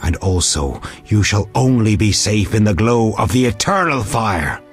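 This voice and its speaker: An actor playing a stern, zealous old priest of a fire cult in a fantasy game, solemn and preachy. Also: male voice, lowish voice, very animated, a little slow, faint music behind. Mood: solemn, scornful. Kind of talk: fantasy video game dialogue.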